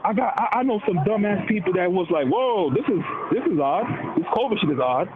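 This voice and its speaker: Animated man on a tinny, noisy line. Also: sits high, a little fast. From Dominican heritage. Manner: animated, slangy, puts on quoted voices. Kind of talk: casual political chat. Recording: tinny phone-like line with noise.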